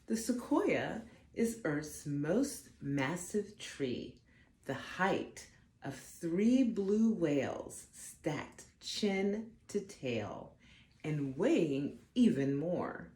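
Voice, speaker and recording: A woman reading a children's picture book aloud in an expressive, deliberate way, phrasing carefully for young listeners. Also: deep voice. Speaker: storybook reader for children. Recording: roomy echo, faint background noise.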